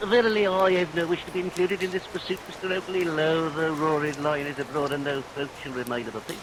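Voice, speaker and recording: A man's voice: a little high, fairly even intonation, quick pace, lightly acted, rough recording. An actor playing a timid, pompous, sanctimonious man with biblical phrasing in vintage radio melodrama. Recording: old radio recording, rain noise.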